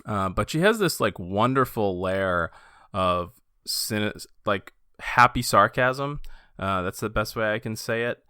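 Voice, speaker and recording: Male podcast host, low-pitched and crisp, enthusiastic fan talking books in a casual register. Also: animated, unhurried, pushed and bright, no room sound, studio quality. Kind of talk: casual book discussion.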